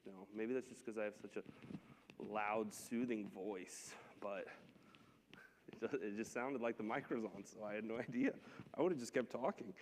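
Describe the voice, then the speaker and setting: A man's voice: on the low side, moderate pace, a little hiss or hum. A man leading a church Bible class with prayer and scripture reading, speaking in a conversational, unpolished way. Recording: long hall reverb.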